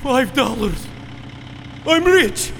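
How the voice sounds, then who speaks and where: A man voicing a gruff Russian taxi driver in a comedy sketch, speaking broken English with a Russian accent and flat deadpan delivery. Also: extremely high pitch, extremely slow, a little hiss or hum, full character voice.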